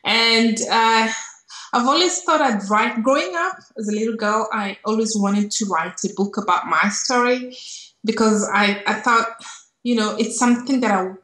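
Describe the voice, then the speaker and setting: A woman's voice: fairly high pitch, normal speed, bright, forceful tone. A middle-aged woman with a Kenyan accent tells her personal story reflectively as an interview guest, repeating words for emphasis, over a video call. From Kenya, grew up in Nairobi. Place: small indoor room on a video call. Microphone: earphone headset. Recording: roomy, echoing video call sound.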